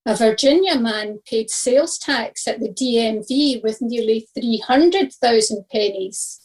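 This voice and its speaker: Female reader, fairly high voice, scripted devotional reflection. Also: unhurried, darkish tone, low-quality recording.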